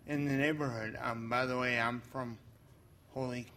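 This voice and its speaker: A man with a Boston accent role-playing a friendly doorstep welcome, halting with many "um"s. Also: mid-range voice, steady tone, sharp tone, slight background noise. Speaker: workshop participant doing role-play.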